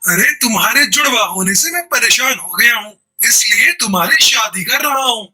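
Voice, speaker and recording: A woman voicing a fed-up, stern father bird in a cartoon, with a husky voice and emphatic delivery. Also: on the high side, lively intonation, moderate pace, sharp tone, soft background music, full character voice, poor audio. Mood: exasperated, stern. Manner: emphatic, exasperated.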